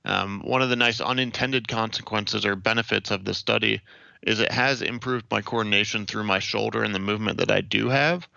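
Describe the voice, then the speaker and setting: A young American man from Ohio giving measured, explanatory answers as an interview guest. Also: on the low side, fairly even intonation, gravelly, sharp tone, no room sound, dull audio. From Ohio, United States. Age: young adult.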